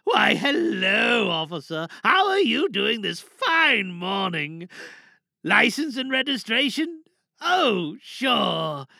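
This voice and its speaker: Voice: mid-range voice, very animated, slow, creaky, sharp tone, no room sound, studio quality, pitched above the performer's own voice, heavily acted. A performer voicing a frantic, self-righteous driver making indignant, wheedling excuses in a comic audio drama monologue. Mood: falsely sweet. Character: frantic, self-righteous reckless driver lying to a police officer.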